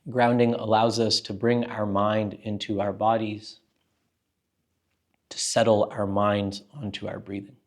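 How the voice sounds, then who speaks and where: A man leading a guided meditation as a teacher, speaking in a calm, gentle, unhurried way with long pauses. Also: on the low side.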